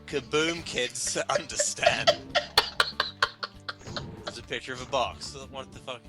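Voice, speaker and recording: A man's voice: mid-range voice, slow. A man giving a theatrical, comedic dramatic reading of a humorous article to friends, prone to breaking up as he reads. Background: music.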